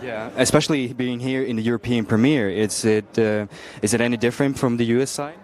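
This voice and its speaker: A man's voice: mid-range voice, steady tone, fairly quick, darkish tone. A man from Sweden with a Swedish accent, chatting casually as a red carpet interviewer.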